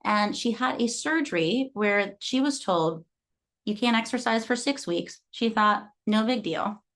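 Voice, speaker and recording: A woman's voice: a little high, measured pace, a bit bright. A woman in her thirties from Guatemala, a fitness coach giving an earnest motivational talk that is full of client stories. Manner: earnest, storytelling. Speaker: fitness coach and gym owner.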